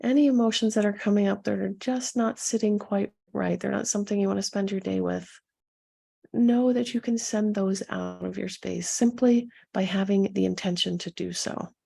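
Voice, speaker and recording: Husky, creaky woman guiding meditation. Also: mid-range voice, steady tone. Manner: soothing, gentle, unhurried instruction with pauses. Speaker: meditation coach and guide. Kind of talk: guided meditation, addressing listeners directly.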